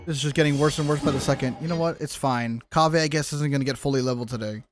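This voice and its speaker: A man's voice: medium pitch, racing, slight background noise. A man chatting casually with viewers while streaming a game, slangy and teasing, with some swearing. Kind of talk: casual chat with viewers during gameplay. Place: live stream at a gaming setup.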